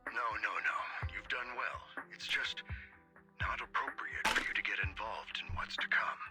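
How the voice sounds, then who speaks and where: An actor playing a mature, courteous city mayor in a video game, earnest and protective, speaking in a measured, formal, reassuring way. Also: male voice, on the low side, natural voice. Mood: courteous, gentle. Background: game music.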